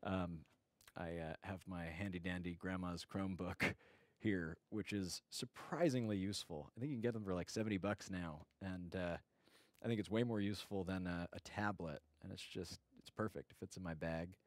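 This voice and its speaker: A man's voice: low voice, fairly even intonation, normal speed, dry recording. A man hosting a casual livestream chat, greeting viewers and rambling with many fillers and restarts. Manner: greets viewers by name warmly. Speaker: livestream host.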